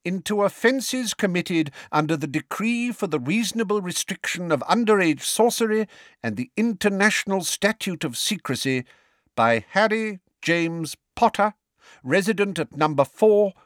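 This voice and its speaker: A man's voice: medium pitch, wildly swooping pitch, a little slow, fairly bright tone, no room sound, studio quality, pitched above the performer's own voice, heavily acted. A man voicing a cold, pompous middle-aged male official in an audiobook, declaiming formally in a ringing, officious way.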